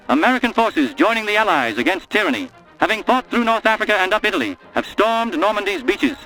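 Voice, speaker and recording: A man's voice: high-pitched, vocal fry, pushed and bright, heavily acted, rough recording. A man playing a grave, urgent wartime newsreel announcer in an audio drama, narrating emphatically in a 1940s style. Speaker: prologue narrator.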